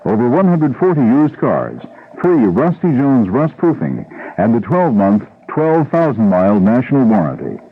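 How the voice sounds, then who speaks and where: A man reading a scripted, emphatic sales pitch as a commercial announcer on an old radio broadcast. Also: big pitch swings, moderate pace, phone-quality sound.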